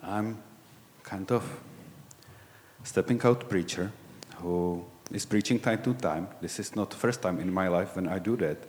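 Deep-voiced czech guy in his 40s preaching, halting and searching for words, big echoey church hall. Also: a little mellow, a little hiss or hum. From Czech Republic. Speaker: lay preacher. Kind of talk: sermon.

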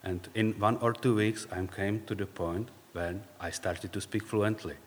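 A man with a Czech accent, speaking English as a second language, gives a reflective personal faith talk in halting, searching phrases. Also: on the low side, fairly even intonation, a little hiss or hum. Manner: halting, searching for words, non-native phrasing. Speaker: speaker sharing faith story. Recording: long reverb, hall-like.

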